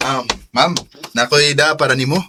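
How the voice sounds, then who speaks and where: A man's voice: mid-range voice, steady tone, moderate pace, noisy background, close to an everyday voice. A man acting a polite, hesitant, sweet-talking young office suitor in a radio drama, with a Cebuano accent. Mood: shy, polite. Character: eager, shy young male suitor at the office.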